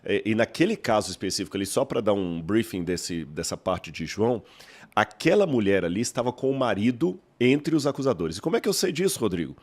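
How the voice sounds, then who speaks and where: A man with a mineiro Brazilian accent and a fairly low voice lays out his points like a teacher. He speaks in measured, explanatory sentences, often posing a question and then answering it himself. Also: sing-song, darkish tone. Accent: Mineiro Brazilian Portuguese. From Minas Gerais, Brazil. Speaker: guest scholar. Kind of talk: explanatory podcast interview.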